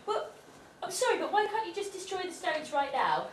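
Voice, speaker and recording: A woman's voice: extremely high pitch, big pitch swings, brisk. A woman playing a hapless, cheeky, grumbling trainee fairy in a stage pantomime, naive and muddled. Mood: cheeky, puzzled. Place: amateur theatre stage in a hall. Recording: echoey hall, distant microphone, noise.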